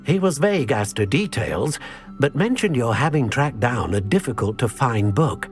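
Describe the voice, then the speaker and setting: A man voicing a courteous, measured gentleman in a wizarding video game. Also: medium pitch, animated, faint music behind, lightly acted. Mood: cordial. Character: courteous, low-voiced man seeking a helper, wizarding game.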